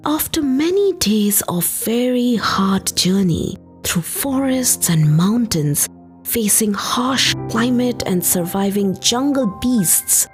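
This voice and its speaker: A woman narrating a folktale for a podcast, with expressive, dramatic and deliberate phrasing. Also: medium pitch. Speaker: podcast storyteller. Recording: music behind the voice. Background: background music.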